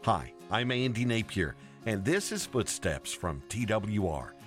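Animated male radio host over music. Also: on the low side, a little slow. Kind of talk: scripted radio narration.

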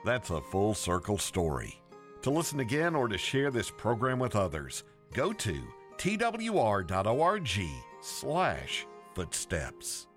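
A man's voice: fairly low pitch, huge pitch swings, unhurried, a bit bright. A man hosting a radio program with scripted narration and short responses to his guest. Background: music.

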